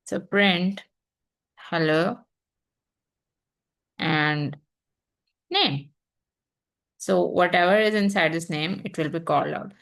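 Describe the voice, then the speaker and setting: A young woman teaching programming in an unhurried, think-aloud way, pausing and restarting as she types examples. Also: on the low side, a bit bright, low-quality recording. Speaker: programming instructor. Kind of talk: screen-recorded coding tutorial.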